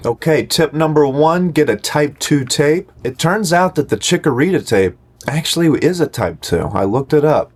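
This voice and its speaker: A man narrating a casual home-recording vlog as host, in a wry, self-deprecating way. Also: mid-range voice.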